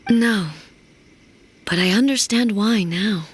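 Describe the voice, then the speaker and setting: An actress playing a gentle, solemn immortal female ruler in a video game, speaking in a measured, formal and wistful way. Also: mid-range voice, breathy edge. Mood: solemn, reflective. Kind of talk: video game dramatic dialogue. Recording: music playing behind. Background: music.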